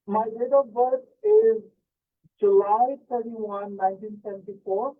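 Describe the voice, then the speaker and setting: A man's voice: high voice, animated, unhurried. A male caller in his fifties, originally from Pakistan, asking hesitantly and politely about his future over the phone. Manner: polite, hesitant, many fillers. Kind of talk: phone-in call. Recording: tinny phone line.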